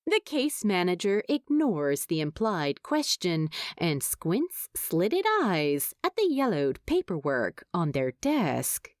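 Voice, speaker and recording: A woman reading a story aloud as an expressive, wry narrator. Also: on the low side, slow, few pauses, no echo, studio quality. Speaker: fan fiction narrator.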